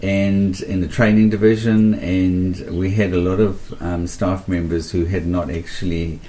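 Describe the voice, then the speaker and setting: Low, mellow South African man. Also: little pitch movement. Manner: earnest, hesitant, many pauses and ums. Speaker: interview guest. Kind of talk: casual radio interview.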